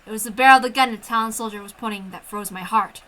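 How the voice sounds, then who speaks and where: A woman reading a first-person story aloud with an expressive, intense dramatic delivery. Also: medium pitch, fast talker, a little hiss or hum. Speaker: amateur story reader.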